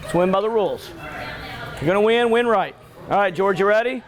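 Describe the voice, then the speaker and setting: A man's voice: high voice, lively intonation, fairly quick. A man who coaches children, talking in plain, conversational coaching language. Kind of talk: coaching and interview talk.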